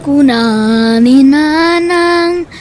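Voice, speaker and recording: A poor little boy in ilocano radio drama, really high voice, slow n sincere, ilocano accent. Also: darkish tone, faint music behind. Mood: tender, earnest. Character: Simon, poor dutiful young boy who sings. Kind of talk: Ilocano audio drama acting.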